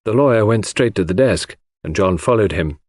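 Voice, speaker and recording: Male narrator for audiobook fiction, mid-pitched, widely varied intonation, very dry close sound. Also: lively intonation, moderate pace, darkish tone, no echo.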